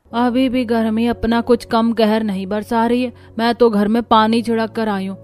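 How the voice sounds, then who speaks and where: A woman's voice: a little high, flat delivery, moderate pace, a little mellow, soft background music, close to an everyday voice. A woman playing a weary, complaining village housewife in cartoon dialogue. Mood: grumbling.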